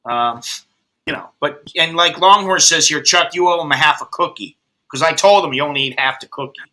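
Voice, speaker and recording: American guy late 50s, rambly jokey chat, swears a lot, really bright voice, kinda high. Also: brisk. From United States. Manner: rambling, profane, joking. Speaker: host. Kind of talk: casual chat.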